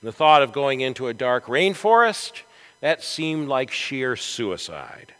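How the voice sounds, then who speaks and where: A man preaching a storytelling sermon in a church, with a dramatic, deliberate delivery and emphatic swells. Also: mid-range voice, big pitch swings, fairly bright tone. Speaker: pastor preaching. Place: large church sanctuary, from the chancel. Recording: echoey church hall with long reverb.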